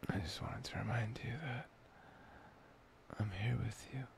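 Slow, creaky, soft-spoken man. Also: medium pitch, steady tone, a little hiss or hum. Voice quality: breathy, often dropping to a whisper. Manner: soft, tender, murmuring with long pauses. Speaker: ASMR boyfriend roleplay performer. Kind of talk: intimate boyfriend ASMR roleplay.

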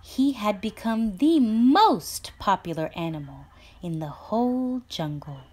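A woman reading a children's storybook aloud with dramatic, deliberate emphasis. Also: mid-range voice, lively intonation, dark tone. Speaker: teacher reading a picture book aloud. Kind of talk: expressive children's storybook narration.

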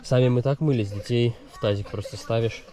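A man's voice: mid-range voice, steady tone, brisk, mellow. A man in his thirties chatting informally and in slang as he narrates a family travel vlog on a handheld camera. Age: 30s. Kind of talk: casual vlog narration while filming. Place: outdoors at a campsite, in a car, in a mall. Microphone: handheld camera at arm's length. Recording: noisy outdoor and in-car handheld recording. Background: ambience, vehicle and outdoor noise.

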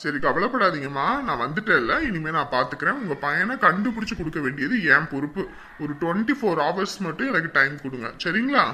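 An actor playing a confident man who reassures and promises to take charge, firm and steady. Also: a little high, quick pace, slight background noise. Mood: reassuring. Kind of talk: TV serial drama.

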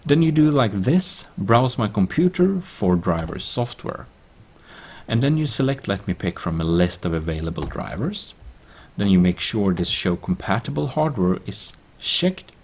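A man giving a plain-spoken, step-by-step software tutorial as a voice-over. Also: on the low side, sing-song, measured pace, creaky. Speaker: tutorial presenter. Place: voice-over screen recording.

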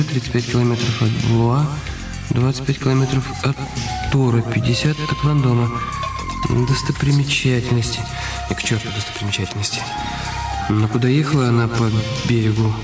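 An actor in a radio drama playing an anxious, calculating husband who broods aloud in halting phrases. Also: male voice, mid-range voice, steady tone, natural voice. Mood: brooding. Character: anxious, calculating husband brooding over his injured wife. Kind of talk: radio drama acting, inner monologue. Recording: echoey, slightly distant studio sound. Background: music.

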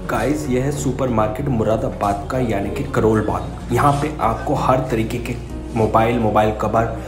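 A man's voice: mid-range voice, moderate pace. A young man narrating a casual vlog, warning viewers in an earnest tone while talking into his phone. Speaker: vlogger. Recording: echoey with loud music behind.

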